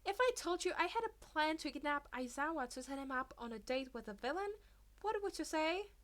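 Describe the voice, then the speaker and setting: Storybook teen schoolboy, played by a woman: high, cheeky, excitable, prone to flustered exclamations. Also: a little fast. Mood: scheming. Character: excitable, mischievous teenage schoolboy, chatty and cheeky.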